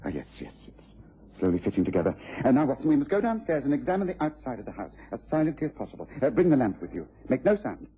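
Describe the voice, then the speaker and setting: An actor playing a shrewd, methodical English gentleman detective, precise and commanding, in a mid-century radio drama style. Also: mid-range voice, very animated, fast talker, breathy edge. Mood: absorbed, investigative. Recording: dull, muffled vintage radio recording.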